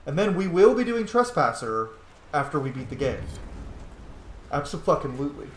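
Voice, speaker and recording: A man's voice: a little high, very animated, normal speed. A man hosting a game playthrough with casual, playful, sweary commentary. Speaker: gaming video host. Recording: echoey room, faint noise.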